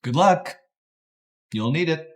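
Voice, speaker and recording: A man's voice: a little high, animated, slow pace. An actor playing a scheming young man who wants to inherit his father's company, smug and sneering. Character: scheming smug young male heir, the brother. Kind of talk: melodrama acting.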